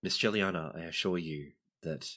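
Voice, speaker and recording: A man playing a calm, courteous doctor in a tabletop game, speaking in a measured, formal, reassuring way. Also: low voice. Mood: formal sympathy. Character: calm, courteous hospital doctor tending crash survivors.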